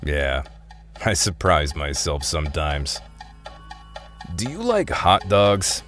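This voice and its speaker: An actor playing a streetwise reformed thief in a radio drama, with a casual, wry way of talking. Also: male voice, very deep voice, sing-song, slow, over music, exaggerated voice. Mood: flirtatious, wry. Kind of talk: radio drama acting and first-person narration.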